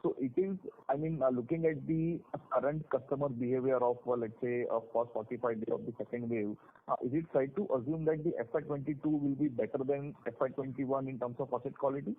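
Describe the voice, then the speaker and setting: Halting Indian man on a phone line. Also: mid-range voice, fairly even intonation. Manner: halting, hesitant phrasing with many fillers. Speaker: securities analyst asking questions on an earnings call. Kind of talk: question-and-answer on a conference call. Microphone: telephone conference line. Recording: narrow phone line with faint noise.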